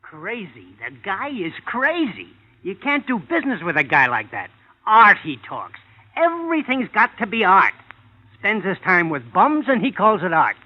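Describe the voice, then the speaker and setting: A man's voice: sits high, huge pitch swings, moderate pace, heavily acted. A man with an American accent playing a blunt, exasperated showbiz agent in an old-time radio drama, slangy and complaining. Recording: muffled vintage radio recording.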